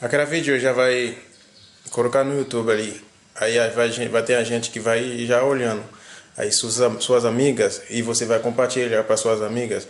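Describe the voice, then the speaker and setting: A man's voice: medium pitch, level tone, normal speed, reverberant. A man explaining the show's plans in a casual way.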